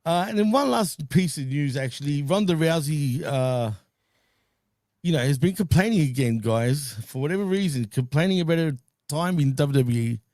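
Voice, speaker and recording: A man with a slightly high voice hosts a wrestling podcast in an easygoing way. He laughs a lot and hedges his points. The sound is dry and close. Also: animated, normal speed, darkish tone, dry recording. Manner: laid-back, laughs often, hedges with fillers. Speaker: podcast host. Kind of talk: casual wrestling chat.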